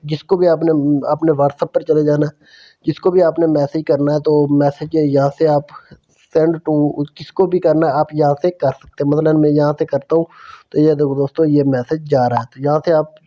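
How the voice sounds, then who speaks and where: Flat-toned man giving a tutorial, muffled sound. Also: a little high, moderate pace. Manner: repetitive, step-by-step explaining. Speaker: tutorial host. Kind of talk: casual app tutorial narration.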